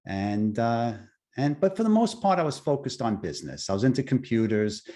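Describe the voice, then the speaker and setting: A middle-aged man from Long Island with a Long Island accent, a guest speaker telling his own story haltingly, with many restarts and fillers. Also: mid-range voice, sing-song, dark tone. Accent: Long Island New York. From Long Island, New York. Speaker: guest speaker, near-death experiencer. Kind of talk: personal storytelling.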